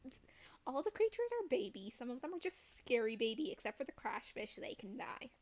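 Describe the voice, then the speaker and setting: A woman's voice: a little high, sing-song, fairly quick. A woman chatting casually and jokily as she commentates a live game stream with friends. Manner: playful, jokey.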